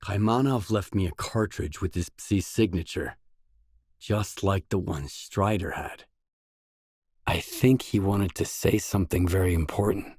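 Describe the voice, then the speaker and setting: An actor playing a determined, brooding stalker in a grim video game, with a measured, serious, understated delivery. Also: male voice, darkish tone, dry recording, clean studio sound. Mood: calm, serious. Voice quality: low, husky. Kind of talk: game voice acting, isolated dialogue lines.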